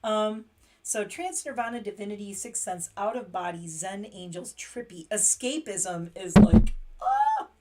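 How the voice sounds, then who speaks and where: A woman hosting a casual makeup livestream, chatty and excitable, laughing often. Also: medium pitch, measured pace, slight background noise, rough recording. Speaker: beauty livestream host.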